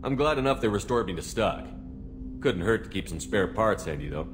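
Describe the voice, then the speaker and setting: An actor playing a male military commander in a video game, with an even, measured delivery. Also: on the low side, music in the background. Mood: steady. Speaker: ship commander.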